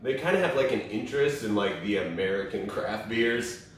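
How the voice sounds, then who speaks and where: Low-voiced easygoing man in an echoey room. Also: expressive, moderate pace, a little hiss or hum. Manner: easygoing, descriptive, says "like" often. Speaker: comedy show co-host. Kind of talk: casual beer tasting chat. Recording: roomy echo, from a distance.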